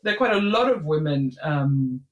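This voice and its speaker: Chatty woman in an echoey room. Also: low voice. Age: middle-aged. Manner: animated, chatty, quick to laugh, many restarts. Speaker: podcast co-host. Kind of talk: casual chat. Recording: roomy, echoey sound.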